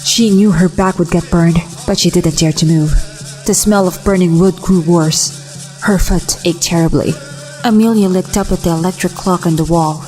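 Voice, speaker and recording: Low, mellow woman in long reverb. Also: fairly even intonation, moderate pace. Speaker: voice actor. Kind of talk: dramatic short story reading, horror. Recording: long reverb, music underneath. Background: music.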